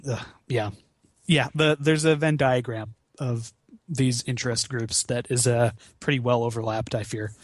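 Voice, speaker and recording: A man's voice: mid-range voice, fairly even intonation, unhurried, dry recording. A man chatting casually as a podcast guest, with a hesitant, wry, self-deprecating manner. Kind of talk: casual chat, comic read-aloud of fan fiction.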